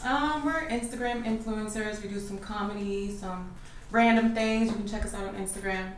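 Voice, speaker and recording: A woman's voice: medium pitch, steady tone, normal speed. Young woman chatting in an echoey room. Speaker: patient, social media influencer. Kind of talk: casual chat during treatment. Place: small indoor treatment room, lying or seated. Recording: echoey room, faint background noise.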